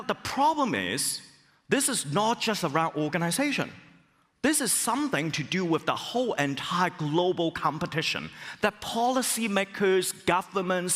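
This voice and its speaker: A middle-aged man from Hong Kong, a business school professor, giving an animated keynote talk with rhetorical questions and exclamations. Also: on the high side. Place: large conference hall. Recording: long hall reverb.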